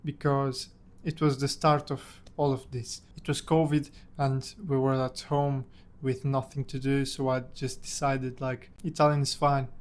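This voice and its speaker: A man's voice: mid-range voice, little pitch movement, unhurried. A young man from Portugal, a native Portuguese speaker, casually telling a personal story about himself in English. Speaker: language-learning content creator.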